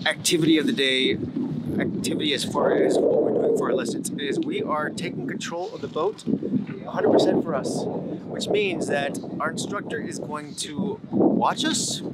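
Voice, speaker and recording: Middle-aged male vlogger, slightly high and very lively, handheld cam on a boat, noisy marina. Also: normal speed. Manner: animated, joking. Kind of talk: casual vlog chat. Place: aboard a sailboat in a marina, indoors and out. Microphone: camera at arm's length.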